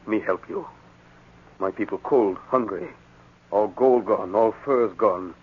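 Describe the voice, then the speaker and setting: A man playing a guarded young chief's son who speaks in terse, halting broken English in a 1940s radio drama. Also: lowish voice, breathy edge. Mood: earnest, grim. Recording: tinny, band-limited 1940s radio recording.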